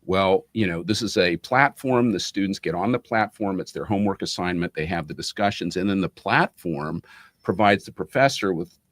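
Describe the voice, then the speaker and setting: A man's voice: deep voice, normal speed, fairly bright tone, no echo. A man giving explanatory interview answers in a methodical, professorial way. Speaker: interview guest, business school academic.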